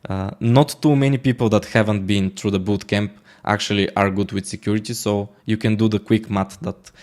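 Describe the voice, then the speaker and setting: A man's voice: on the low side, fairly even intonation, moderate pace, darkish tone. A man from Bulgaria, a Bulgarian native speaker talking in English, interviewed as a guest, speaking casually with many fillers and restarts. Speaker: guest, smart contract auditor.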